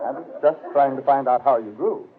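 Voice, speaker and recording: A man's voice: medium pitch, fairly quick. Male radio player, medium register, scripted comic questions, thin vintage sound before a crowd. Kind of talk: scripted 1940s radio banter. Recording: tinny 1940s radio recording, audience noise.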